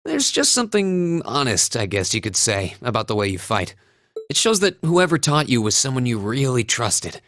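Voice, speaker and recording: Game role: kind young student hero, mid-range voice, very lively pitch movement, dry studio recording. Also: male voice, normal speed, no echo. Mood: sympathetic, gentle. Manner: earnest, casual, considerate. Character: earnest, kind young student from a humble noble family. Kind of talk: English-language game voice acting.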